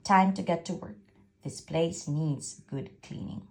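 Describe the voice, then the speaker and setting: A woman's voice: fairly low pitch, measured pace, creaky, darkish tone. A woman voicing a vain, self-pitying wicked stepmother from a storybook, with a dramatic, haughty manner. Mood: sugary, bossy. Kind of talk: storybook first-person narration.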